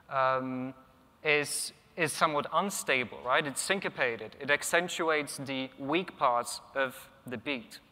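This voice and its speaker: Young man, Polish first language, slightly high bright voice, conference hall reverb, thoughtful with frequent ums. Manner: thoughtful, many ums and restarts. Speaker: conference speaker. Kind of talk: technical conference talk. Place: large conference hall, at a lectern. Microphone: lectern microphone.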